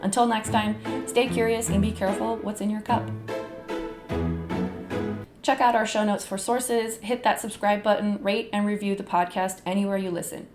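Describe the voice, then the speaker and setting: A woman's voice: mid-range voice, fairly even intonation, moderate pace, over music. A young adult woman hosting a solo podcast, chatty, with self-deprecating asides. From United States. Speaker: podcast host.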